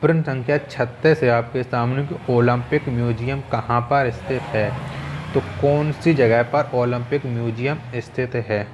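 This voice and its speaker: A man's voice: medium pitch, fairly even intonation, a little slow, a little hiss or hum. A man teaching an exam quiz lesson, methodically reading out questions and repeating key answers.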